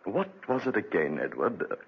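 A man's voice: deep voice, huge pitch swings, fairly quick, creaky, husky, heavily acted. An actor playing a guilt-ridden, bitter Englishman with a brooding, dramatic delivery in a 1940s radio drama. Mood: distracted, straining. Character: haunted, guilt-ridden Englishman, bitter former debtor prisoner. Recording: dull restored 1940s radio recording.

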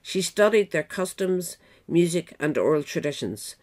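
A woman's voice: fairly low pitch, sing-song, moderate pace, darkish tone. A woman narrator reading a scripted biographical essay aloud. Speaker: narrator, reader.

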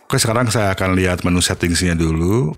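A man giving an informal, step-by-step software tutorial. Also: low voice, a little fast, soft background music. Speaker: tutorial host.

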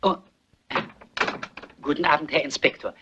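Man acting a apologetic flustered gentleman, radio mystery, rather high voice, dull noisy recording. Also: moderate pace, natural voice. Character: timid, fretful gentleman friend of the sleuth.

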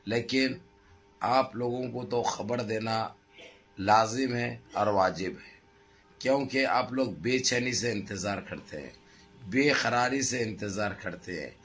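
Man, middle-aged, hoarse throat, impassioned political vlogger, repetitive rhetorical phrasing, music behind. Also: lowish voice, takes it slowly, a bit bright. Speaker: news commentary vlogger. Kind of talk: impassioned political commentary addressed to viewers. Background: background music.

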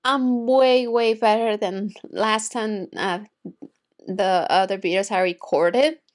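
A woman's voice: mid-range voice, slow pace. Chatty young woman getting over a cough. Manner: chatty, rambling with restarts. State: recovering from illness, slight cough. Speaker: anime reaction youtuber. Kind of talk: casual chat to camera. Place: home room with shelves of figures and manga. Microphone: desk mic on boom arm in front.

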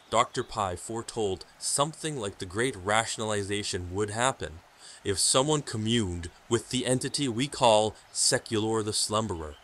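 An actor playing a solemn, stern hermit keeper of a sacred order, speaking formally in an audio drama. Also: male voice, fairly low pitch, a bit bright, slight background noise. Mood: grave. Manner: grave, portentous, formal.

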